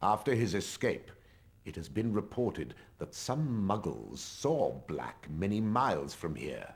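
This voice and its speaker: A man's voice: rumbling low, vocal fry, a little hiss or hum, full character voice. An actor playing a stern, cold male potions professor in a video game, speaking in a clipped, sneering, commanding way. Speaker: teacher.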